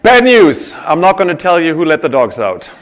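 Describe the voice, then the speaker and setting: A man presents on a conference stage, talking straight to his audience. His voice is a bit higher than most men's and swings widely as he tells his stories. He draws the crowd in as he talks. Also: normal speed, soft background music. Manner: animated, audience-engaging storyteller. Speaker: conference speaker. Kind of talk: keynote-style presentation.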